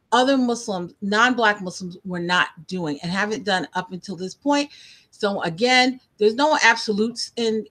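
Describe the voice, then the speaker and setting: Give me a young woman host, bright and chatty, explaining research like a lecturer. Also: mid-range voice. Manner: chatty, many restarts, asks "okay?". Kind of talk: online video interview, lecture-like explaining.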